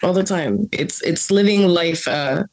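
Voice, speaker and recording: A woman's voice: on the low side, a little slow, low-quality recording. Blunt, profane young woman from the Bronx. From Bronx, New York. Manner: blunt, profane and animated, laughs often. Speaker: guest, coffee Q grader. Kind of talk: casual chat.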